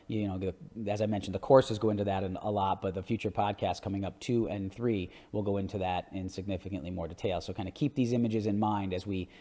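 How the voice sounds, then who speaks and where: A man's voice: on the low side, dark tone. A man giving an educational podcast lecture, explaining images in a conversational way with emphatic asides. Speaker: orthodontist hosting an educational podcast. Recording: long hall-like reverb.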